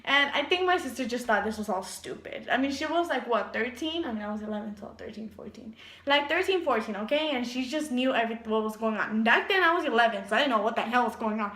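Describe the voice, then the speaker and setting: A young woman telling a storytime tale in a vlog, animated and giggly, acting out voices as she goes. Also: a little high, quick pace. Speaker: vlogger. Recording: echoey room.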